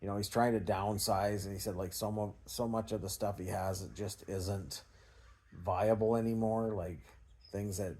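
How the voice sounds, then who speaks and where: A man's voice: fairly low pitch, fairly even intonation, normal speed, mellow. A Canadian man talking in a casual, unscripted vlog style, rambling and hesitant in a self-effacing way. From Canada, small-town Ontario. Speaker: scrap recycling vlogger.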